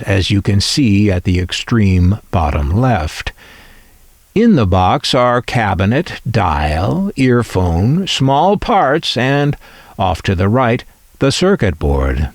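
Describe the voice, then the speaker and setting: A man narrating a scripted video as its host, in measured, deliberate phrases with dry asides. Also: lowish voice, dry recording. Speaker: host of a vintage electronics channel.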